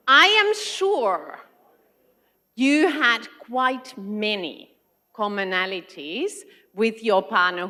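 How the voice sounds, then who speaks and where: A woman's voice: high voice, bright, forceful tone. Finnish-accented woman giving a conference talk. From Finland. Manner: friendly, engaging, gives the audience tasks. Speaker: keynote speaker, education consultant. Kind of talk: conference talk to a large audience. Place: large conference hall.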